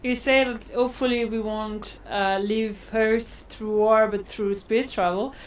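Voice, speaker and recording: Higher-pitched woman reporter asking questions. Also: fairly even intonation, unhurried, distant mic, a little hiss or hum. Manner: asks with frequent laughs and backchannels. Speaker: reporter, interviewer. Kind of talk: interview questions. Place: outdoors in a national park.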